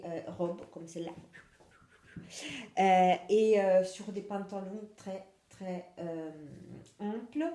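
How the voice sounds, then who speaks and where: Slow, hesitant Italian woman with echo. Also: medium pitch, warm tone. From Italy. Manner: hesitant, many fillers and self-corrections, laughs often. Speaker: knitting podcast host. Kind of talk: casual knitting video podcast.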